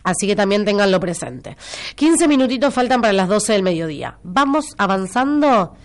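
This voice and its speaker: A woman's voice: mid-range voice, animated, normal speed. A woman with an Argentine accent hosting a local radio show, chatty and teasing, with a stuffy nose from a cold. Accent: Rioplatense Argentine Spanish. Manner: chatty, teasing, repeats information for listeners. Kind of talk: casual live radio chat with listener messages.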